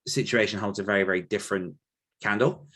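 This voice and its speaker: A man's voice: on the low side, steady tone, bright, forceful tone. A man hosting a podcast discussion, speaking casually in a reflective, careful, diplomatic way. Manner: measured, diplomatic, hedging. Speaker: podcast host.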